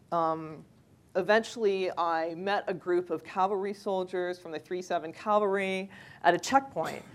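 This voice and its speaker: A woman, a freelance journalist giving a measured, anecdotal personal account during a panel discussion. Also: fairly low pitch. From Canada.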